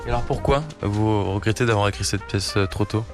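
A man interviewing a guest, putting short prompting questions in a brisk way. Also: on the low side, over music. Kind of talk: quick-fire interview questions.

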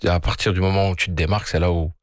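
A man in his forties from France, a DJ guest on a radio show, talking in a casual, colloquial, relaxed way. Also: low voice, racing, no echo, clean studio sound. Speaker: studio guest, DJ. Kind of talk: radio interview. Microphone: studio microphone.

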